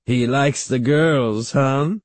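A man voicing a big, loud old great-aunt in a storybook, teasing and menacing, with bellowing, probing questions. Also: slow pace, no room sound, close to an everyday voice. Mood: leering, probing. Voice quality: deep-throated.

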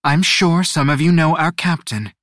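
A man's voice: a little high, normal speed, fairly bright tone, no room sound, studio quality, pitched above the performer's own voice, close to an everyday voice. A man voicing a poised woman guide in an audiobook, gracious and formal as she welcomes people.

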